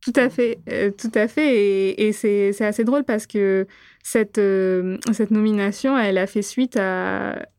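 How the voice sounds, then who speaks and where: Interview guest: young woman from Réunion, Gujarati as her first language, clear voice, measured and halting. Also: mid-range voice, a little mellow, no room sound. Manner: thoughtful, frequent hesitations. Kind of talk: interview answers.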